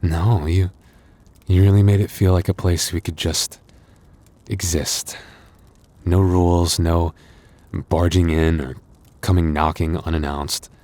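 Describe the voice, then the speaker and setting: A man playing a gentle, anxious young werewolf boyfriend in an intimate audio roleplay, speaking softly and haltingly. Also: sits low, little pitch movement. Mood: tender. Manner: intimate, hesitant, soft-hearted, halting.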